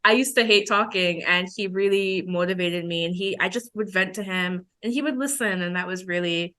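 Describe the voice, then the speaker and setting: A woman's voice: on the low side, moderate pace, sharp tone. A woman in her twenties telling a personal story on a podcast in a chatty, informal way. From Belize. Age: 20s. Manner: chatty, informal, acts out remembered dialogue. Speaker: podcast co-host.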